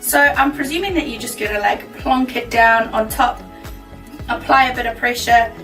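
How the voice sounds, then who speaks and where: A woman with a croaky, worn voice chatting casually to camera as a baking vlogger, bubbly and laughing easily. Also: a little high, steady tone, normal speed, pushed and bright, roomy sound, distant mic, faint music behind, low-quality recording. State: croaky, losing her voice.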